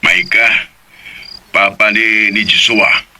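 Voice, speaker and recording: A man's voice: on the low side, level tone, slow pace. A man playing a grave older father in a radio drama, with a Cebuano accent. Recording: clear background noise, insect-like chirping.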